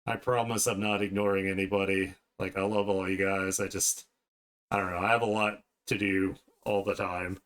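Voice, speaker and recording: Guy w a lowish voice just rambling to chat while he draws on stream. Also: flat delivery, moderate pace, fairly bright tone, clean tone. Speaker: art streamer hosting a livestream. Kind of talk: casual livestream chat while drawing.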